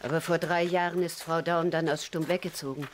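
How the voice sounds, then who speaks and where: A woman's voice: low-pitched, fairly even intonation, a little fast, slight background noise, natural voice. An actress playing a genteel, sympathetic 1920s Viennese lady in a radio drama, formal and subdued. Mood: subdued, regretful.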